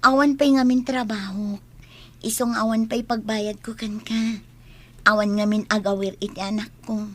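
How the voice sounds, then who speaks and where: A woman's voice: medium pitch, steady tone, moderate pace, close to an everyday voice. A Filipino woman playing a dutiful, worried daughter in an audio drama, earnest and deferential. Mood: troubled. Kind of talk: Ilocano audio drama acting.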